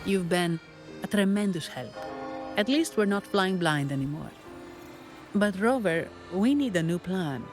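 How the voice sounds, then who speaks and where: A composed young noblewoman who lays out plans in a calm, measured voice. She sounds controlled and sure of herself. Game music plays clearly beneath her. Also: fairly low pitch.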